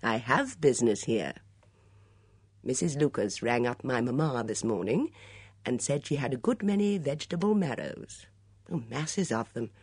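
A woman's voice: extremely low pitch, lively intonation, normal speed, darkish tone, husky, dry recording, clean studio sound, full character voice. A woman voicing a glib, teasing young man in love, with flowery, mock-formal speech in an audiobook story. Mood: playful, teasing.

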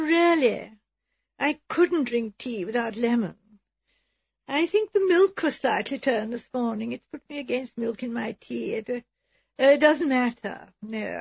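Thin-voiced, plaintive English invalid. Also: female voice, a little high, animated, measured pace, creaky, slightly rough, dry recording, muffled recording, exaggerated voice. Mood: feeble, fussy. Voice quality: thin, weak. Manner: melancholy, martyred, plaintive. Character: frail, self-pitying invalid spinster, melancholy and fussy.

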